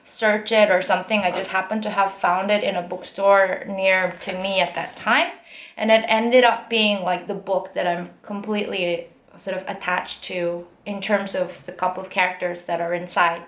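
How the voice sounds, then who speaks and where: A young woman with a Korean accent and American-style English, telling a story casually with many fillers and pauses. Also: mid-range voice, fairly even intonation, fairly bright tone. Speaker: aspiring actress. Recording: echoey room.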